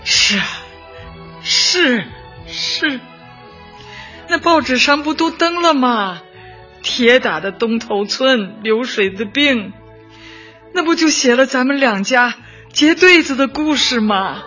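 An actress in a radio drama playing a warm, devoted elderly woman community secretary who talks affectionately and emphatically. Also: high-pitched, expressive, slow, pauses often, exaggerated voice. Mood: fond, reminiscing. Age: older. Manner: affectionate, emphatic, sighing interjections. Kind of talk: Chinese radio drama acting. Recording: muffled, music behind.

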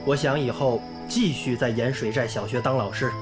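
An actor playing an earnest, idealistic young volunteer teacher in a radio drama, polite and sincere in manner. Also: male voice, mid-range voice, normal speed, close to an everyday voice. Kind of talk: radio drama acting and narration. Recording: music bed under the voice.

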